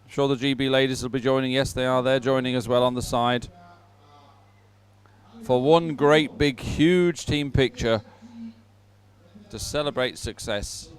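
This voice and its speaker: A man presenting live sports commentary, pausing as he goes and adding upbeat, sentimental asides. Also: medium pitch, fairly even intonation. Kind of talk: live commentary on a dragon boat regatta.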